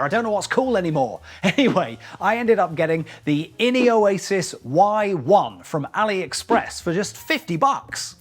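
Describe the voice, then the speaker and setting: A middle-aged man with a high, very animated voice narrates a scripted vlog over faint music. He is chatty and wry, often poking fun at himself in asides. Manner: wry, self-deprecating, chatty. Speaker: YouTube host.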